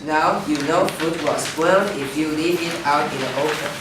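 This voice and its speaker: Low-voiced woman narrating from far off. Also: normal speed. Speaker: reader's theater narrator. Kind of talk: scripted storybook narration. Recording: very echoey, distant, noisy room.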